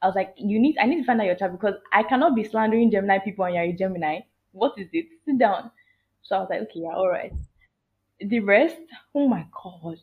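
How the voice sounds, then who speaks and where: A woman's voice: medium pitch, fast talker. A young woman guest on a podcast, chatting casually and acting out her own reactions. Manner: animated storyteller, quotes herself often. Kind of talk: casual chat. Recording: dull lo-fi audio.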